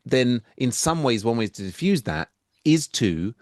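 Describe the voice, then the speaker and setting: A man hosting a podcast in casual chat, halting and restarting often, wandering into playful tangents. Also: mid-range voice, big pitch swings, no room sound, studio quality. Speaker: podcast host.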